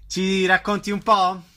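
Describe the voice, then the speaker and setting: A man's voice: sits high, fairly bright tone. A man hosting a radio interview, putting questions to a guest.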